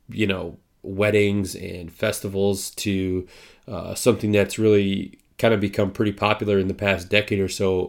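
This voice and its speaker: Man, podcast host, congested, nasal, under the weather, halting, slightly low voice. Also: creaky. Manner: halting, many ums and uhs. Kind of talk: casual podcast intro and sponsor reads.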